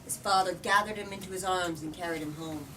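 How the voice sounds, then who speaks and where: Distant, lower-voiced woman reading aloud expressively. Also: fairly quick, sharp tone. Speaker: host of a book reading, reader. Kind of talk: dramatic storybook read-aloud with discussion. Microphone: far from the speaker. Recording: distant mic, noisy room.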